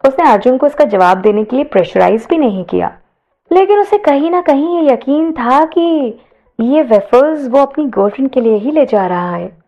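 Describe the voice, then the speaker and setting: A woman's voice: high-pitched, expressive, normal speed, dark tone, highs cut off. A woman narrator reading a scripted audio story.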